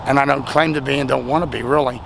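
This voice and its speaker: Hoarse, gravelly man by a road. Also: medium pitch, steady tone, quick pace. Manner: rambling, chatty, self-deprecating. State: voice nearly lost, hoarse. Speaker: vlogger. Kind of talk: casual thank-you vlog. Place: outdoors at a highway rest stop, traffic nearby. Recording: outdoor traffic noise.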